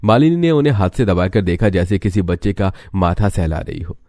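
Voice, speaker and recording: A man's voice: low-pitched, expressive, a little fast, darkish tone. A man reading scripted storytelling narration for a cartoon.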